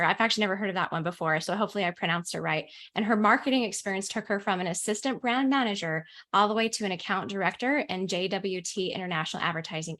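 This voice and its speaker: A woman's voice: medium pitch, a bit bright. A woman from the US, a business coach, hosting a webinar over a video call in an upbeat, enthusiastic way. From United States.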